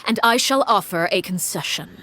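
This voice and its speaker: An actress playing a cold, commanding woman who heads a house, speaking in a measured, formal and icily calm way. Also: medium pitch, slight background noise. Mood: stern. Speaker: villainous house leader.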